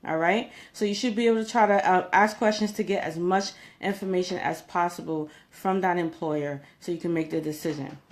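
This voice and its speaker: Lady coach doing an advice vlog, kinda low voice, chatty and emphatic, keeps checking you follow. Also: fairly even intonation, brisk, hardly any pauses. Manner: conversational, emphatic, checks in with "okay?". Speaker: career coach giving job interview tips. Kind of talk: informal advice vlog, talking to viewers.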